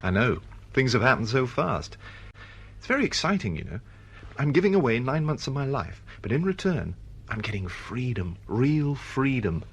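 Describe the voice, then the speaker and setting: An actor playing a mild, easily flustered novelist in a radio drama, hesitant and politely protesting. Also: male voice, mid-range voice, big pitch swings, normal speed, a bit bright, husky, exaggerated voice. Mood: excited, evasive. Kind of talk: BBC radio drama acting.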